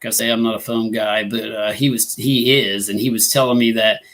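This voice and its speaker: A man's voice: on the low side, level tone, brisk, a bit bright. Guy from illinois hosting a live ghost hunting show, rambly, lots of um and you know. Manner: rambling, many fillers and restarts. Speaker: ghost hunting group host. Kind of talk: casual live talk show chat.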